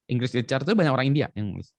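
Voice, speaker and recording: A middle-aged man from Indonesia talks quickly as an expert guest, and his speech tumbles along with examples and fillers. His pitch sits in the middle. The recording is close and dry, with no room sound. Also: creaky, no echo. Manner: animated, explains with examples, frequent fillers. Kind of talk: conversational interview explanation.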